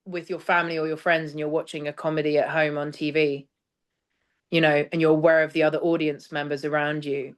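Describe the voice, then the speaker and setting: Low-voiced woman in interview. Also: smooth. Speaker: interview guest, theatre director. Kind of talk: conversational interview talk about craft.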